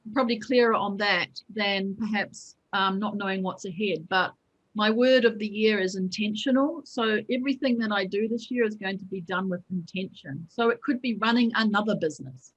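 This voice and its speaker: A woman's voice: medium pitch, normal speed. Reflective woman over dull call audio. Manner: reflective, with restarts. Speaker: entrepreneur, former lawyer. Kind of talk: interview answer.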